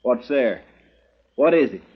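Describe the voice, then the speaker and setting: A man's voice: mid-range voice, lively intonation, measured pace, husky. A man playing a deferential frontier deputy in a radio western, with a folksy rural American drawl. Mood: uneasy. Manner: folksy, respectful. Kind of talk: 1950s radio western acting. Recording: dull, narrow vintage radio recording.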